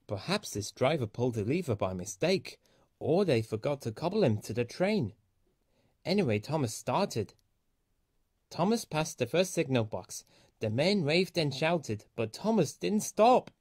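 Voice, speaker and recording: A man reading a children's story aloud as an expressive storyteller. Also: on the high side, unhurried, dry recording, clean studio sound.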